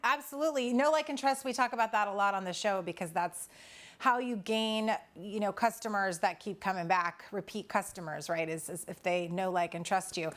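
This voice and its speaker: A woman's voice: medium pitch, normal speed. A woman hosting a talk show, chatty and upbeat as she interviews a guest. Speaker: TV show host.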